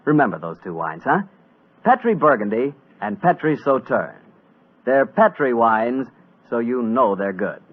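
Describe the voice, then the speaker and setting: A man announcing and pitching a sponsor on 1940s radio in a folksy, conversational way. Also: sing-song, unhurried, background noise. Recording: muffled vintage radio recording.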